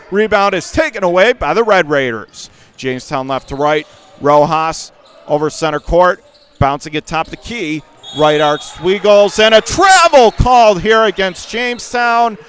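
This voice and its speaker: A man doing live radio play-by-play of a basketball game in punchy short phrases, getting excitable on big plays. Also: a little high, clean tone. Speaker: radio play-by-play sports announcer. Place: indoor school gymnasium during a game.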